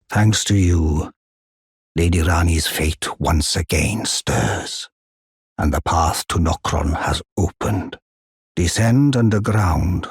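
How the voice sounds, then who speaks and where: Casting: aged giant blacksmith-counselor for a fantasy game. Very low, heavily rasping, solemn and devoted. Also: male voice, no room sound, studio quality, full character voice. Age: older. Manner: slow, solemn, archaic courteous phrasing. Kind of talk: formal video game character acting.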